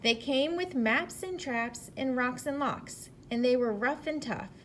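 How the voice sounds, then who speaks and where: A woman with a fairly high voice reads and talks to young children like a teacher. Her delivery is expressive and slow, with faint noise behind it. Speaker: teacher reading to pupils. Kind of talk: children's storybook read-aloud and talk.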